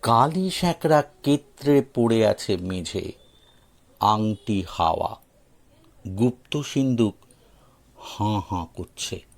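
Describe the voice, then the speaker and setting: Slow, creaky-voiced male storyteller. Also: fairly low pitch, lively intonation. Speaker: audio story narrator. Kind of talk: expressive scripted story narration.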